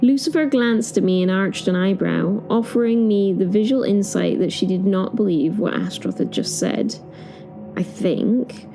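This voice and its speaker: A woman playing a timid, flustered professor, telling her story in the first person with expressive, hesitant, wry asides. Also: medium pitch, moderate pace, gravelly, close to an everyday voice, poor audio. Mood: uneasy, descriptive.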